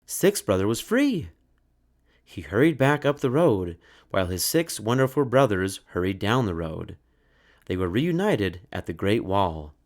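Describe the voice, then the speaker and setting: A man reading a children's story aloud in a measured, expressive way. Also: fairly low pitch. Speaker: storybook narrator.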